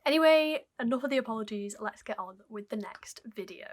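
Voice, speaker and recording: A young woman hosting her channel, telling an old mystery case in a chatty way while her voice is croaky and fading. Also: a little high, animated, a little fast. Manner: chatty, apologetic, dwells on odd details. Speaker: YouTube host. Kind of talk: casual mystery storytelling to camera.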